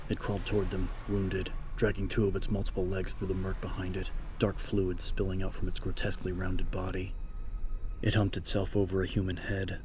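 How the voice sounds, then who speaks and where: A man's voice: sits low, noisy background. A man reading a horror story as a dramatic scripted audiobook narration, with music playing behind him. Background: game-style background music.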